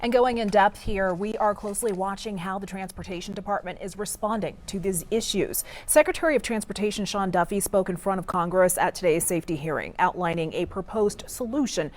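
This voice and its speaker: A woman's voice: mid-range voice, a little fast, few pauses. An American woman presenting scripted television news as an anchor.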